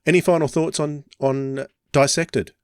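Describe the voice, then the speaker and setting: A man's voice: fairly high pitch, level tone, a little slow, clear, resonant tone, dry recording, clean studio sound. A man hosting a podcast, chatting casually with guests about audio dramas. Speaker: podcast host.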